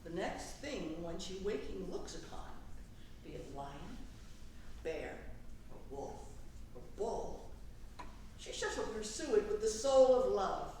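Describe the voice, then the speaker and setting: A woman's voice: medium pitch, big pitch swings, slow, pauses often. A woman playing an imperious, scheming fairy king in a declamatory staged reading of Shakespearean verse. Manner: declamatory, theatrical. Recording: echoey room from far off, faint noise.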